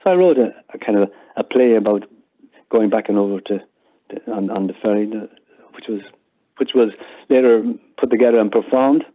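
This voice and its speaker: An older Irishman speaks as a guest in a casual interview. His delivery is conversational and halting, with words often repeated as he explains. The sound is narrow and muffled, like an online call. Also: fairly low pitch. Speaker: interview guest, member of amateur audio theatre group.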